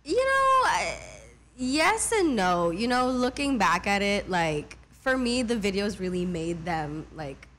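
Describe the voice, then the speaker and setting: A young woman in her twenties, a singer talking casually as an interview guest, relaxed and chatty with many fillers. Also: medium pitch, measured pace, slight background noise. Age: 20s. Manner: relaxed, chatty, lots of "like" and "mm-hmm".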